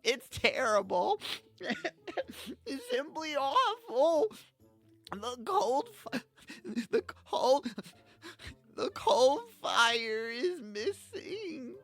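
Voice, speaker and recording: A man's voice: very high-pitched, lively intonation, extremely slow, vocal fry, long pauses, voice pushed up, full character voice. A man voicing a tiny, melodramatic, weepy male elf for a comic children's story, wailing and whimpering with a cracking voice. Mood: tearful wailing. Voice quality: cracking, tearful. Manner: wailing, whimpering, sniffly, overdramatic.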